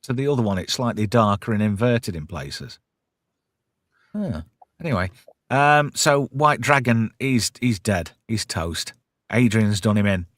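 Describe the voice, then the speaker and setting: A British man hosts a casual pop culture chat in a slangy, irreverent way. His pitch swings widely as he talks, and he swears freely. The sound is close and dry. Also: animated, unhurried, fairly bright tone, dry recording. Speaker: host of a pop culture review show.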